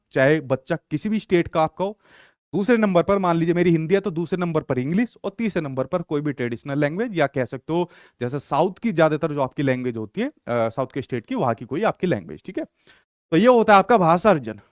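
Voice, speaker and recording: A man's voice: fairly high pitch, no room sound, studio quality. A young male teacher lecturing in an online exam-prep class, repeating points and asking rhetorical questions he answers himself. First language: Hindi.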